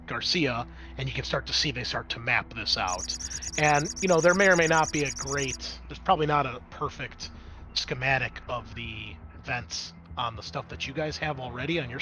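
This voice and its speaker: A man's voice: medium pitch, sharp tone, low-quality recording. A man working as game master, narrating a tabletop roleplaying game in a casual, off-the-cuff way.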